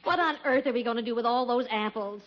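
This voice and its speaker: An actress playing a sceptical, sharp-tongued wife and mother in an old-time radio sitcom, wry and challenging. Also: a little high, fast, lightly acted. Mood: wry, teasing. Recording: dull, muffled vintage radio recording.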